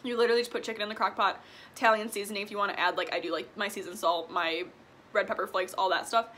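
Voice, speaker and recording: Chatty 20 yo girl eating on cam, bright slightly high voice, faint hiss in the room. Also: steady tone, racing. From Illinois. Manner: chatty, eats while talking, frequent "like" and "um". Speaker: vlogger. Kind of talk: casual mukbang Q&A chat. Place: small room indoors at home.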